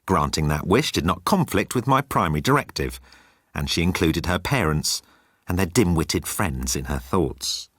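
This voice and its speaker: A man's voice: low-pitched, expressive, normal speed, a bit bright, husky, no echo, clean studio sound, full character voice. A man voicing a haughty, literal-minded electronic bird in an audiobook, with clipped, formal, pedantic delivery. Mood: coolly condescending.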